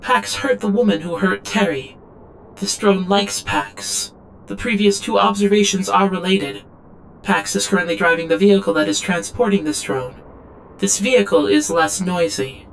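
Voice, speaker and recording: Woman acting a detached, creaky female android. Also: medium pitch, moderate pace, slight background noise, close to an everyday voice, low-quality recording. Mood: detached, analytical. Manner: clipped, literal, detached recitation. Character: obedient female android drone, flatly devoted to owner. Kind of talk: audio drama log narration.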